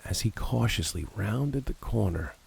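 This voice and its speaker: Deep, gravelly man narrating horror. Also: unhurried. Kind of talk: scripted horror narration, creepypasta reading. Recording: steady background noise of rain ambience.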